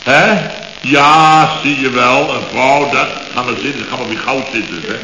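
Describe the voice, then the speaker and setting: A man's voice: medium pitch, unhurried, natural voice. A man playing a blustering, impatient company boss in a Dutch radio comedy from around 1970, talking in clipped, exclamatory fragments. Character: blustering, impatient male boss of building firm. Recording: echoey, dull, noisy old radio recording.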